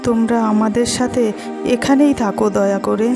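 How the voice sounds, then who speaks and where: A woman's voice: fairly high pitch, moderate pace, over music, close to an everyday voice. A woman playing a wronged but forgiving village mother in a Bengali cartoon drama, speaking in an earnest, plaintive way. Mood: grateful, pleading.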